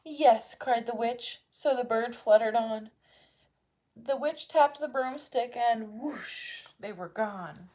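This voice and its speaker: A woman's voice: fairly high pitch, slow pace, a little mellow. A woman storyteller reading a children's picture book aloud expressively.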